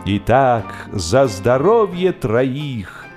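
A man's voice: medium pitch, big pitch swings, very slow, darkish tone. Guy doing a hearty english gent raising a toast, radio play, music behind. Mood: hearty, solemn. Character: jovial English gentleman giving a hearty toast.

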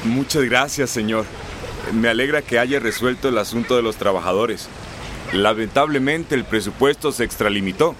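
An actor playing a cautious, deferential engineer in a radio drama, formal and polite. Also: male voice, medium pitch, moderate pace, fairly bright tone, slight background noise, close to an everyday voice. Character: deferential, cautious engineer serving a corrupt boss.

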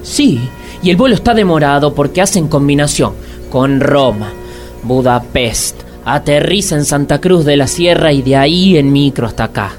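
An actor with an Argentine accent playing a grave, secretive bar waiter with a formal, deadpan manner in a comedic radio drama. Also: male voice, a little high, normal speed, faint music behind, lightly acted. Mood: deadpan, apologetic. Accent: Argentine, Rioplatense.

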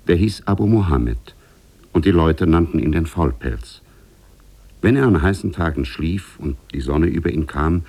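An actor playing a calm storyteller, telling an old tale in measured phrases for a 1950s radio drama. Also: male voice, very deep voice, gravelly, exaggerated voice. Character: calm male storyteller of an Arabian Nights tale.

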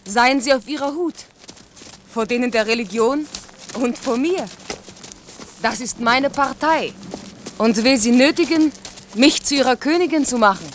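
Ambitious noble mistress in an old radio play, earnest, kinda high voice. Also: female voice, a little slow, pauses often, slight background noise. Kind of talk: 1970s radio drama acting.

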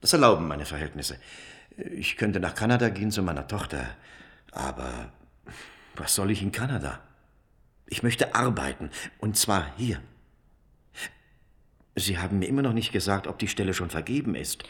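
A male job seeker in his fifties, anxious and formal. His low voice moves expressively as he picks careful, hesitant, courteous words in a naturalistic radio play. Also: lively intonation, normal speed, long pauses, fairly bright tone. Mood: earnest, urgent. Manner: polite, hesitant, carefully worded. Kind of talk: naturalistic German radio drama acting.

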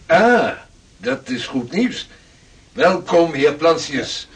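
An actor playing an earnest 16th-century navigator and mapmaker who talks in a measured, formal way. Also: male voice, a little high, sing-song, husky, full character voice. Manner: measured, formal, old-fashioned speech. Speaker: seafaring cartographer. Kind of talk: radio drama acting.

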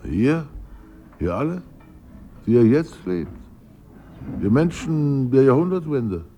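An actor playing a proud, egocentric, nostalgic poet in a literary radio drama, speaking in a theatrical, declamatory way. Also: male voice, medium pitch, sing-song, slow pace, dark tone, a little hiss or hum. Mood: impassioned. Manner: theatrical, declamatory, swinging from murmur to outburst.

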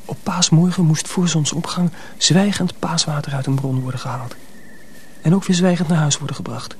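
Higher-voiced, earnest teenage apprentice boy, played by a man. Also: normal speed, darkish tone, slight background noise, natural voice. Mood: wistful, reminiscing. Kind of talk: Dutch radio drama acting.

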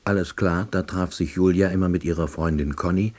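A man's voice: low voice, steady tone, a little fast, darkish tone. An actor playing an earnest, awkward, self-important salesman, narrating his life drily and wordily in a radio drama. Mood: dry, earnest. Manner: dry, wordy, officialese.

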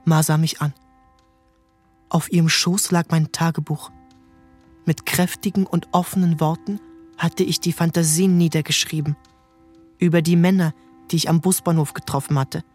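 Young man as troubled narrator, radio drama, somewhat high, calm, faint music under. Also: moderate pace, long pauses, close to an everyday voice. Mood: reflective. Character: troubled young man looking back on his youth. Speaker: first-person narrator.

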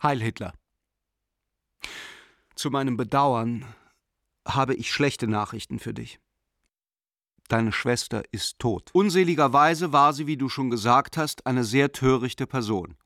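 An actor playing a middle-aged, self-justifying German banker turned Nazi believer, reading a letter in a controlled, formal way in a radio play. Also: male voice, medium pitch, unhurried, long pauses, a bit bright, no room sound, studio quality. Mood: cold, formal.

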